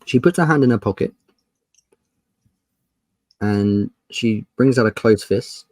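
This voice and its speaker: A man running a tabletop roleplaying game, narrating scenes in a measured, descriptive and polite way. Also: fairly low pitch, darkish tone, no echo. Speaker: game master.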